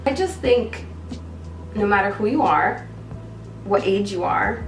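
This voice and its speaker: A woman in her thirties answers interview questions in an earnest, reflective way. Her voice sits at a middle pitch and comes from a little way off the mic. The room echoes and a soft music bed runs beneath. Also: lively intonation, unhurried, gravelly, distant mic. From upstate New York. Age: 30s. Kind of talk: documentary interview.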